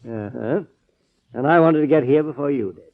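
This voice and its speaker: Gravelly, menacing radio-drama crook, played by a man. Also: fairly high pitch, moderate pace, natural voice. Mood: confessing, smug. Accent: American. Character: menacing, smooth-talking criminal with a gun. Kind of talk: 1940s radio acting. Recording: tinny, band-limited old radio recording.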